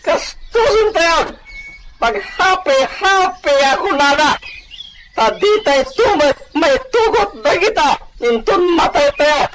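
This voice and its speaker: An actor playing an excitable, greedy, bossy treasure hunter in an Ilocano audio drama. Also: very high-pitched, slow pace, very noisy, heavily acted. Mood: greedy glee. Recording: loud music over the voice.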